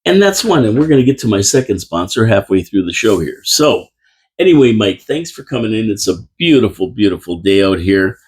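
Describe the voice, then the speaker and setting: A man's voice: on the low side, sing-song, a little fast, a little mellow, breathy edge. A man hosting a live local radio talk show from a small studio, chatty and rambling with lots of restarts. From Canada. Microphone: studio microphone.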